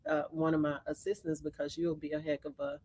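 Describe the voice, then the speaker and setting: Soft-spoken low-voiced woman guest. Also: little pitch movement. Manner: halting, with many restarts and laughs. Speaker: talk show guest. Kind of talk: casual storytelling interview. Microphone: video call.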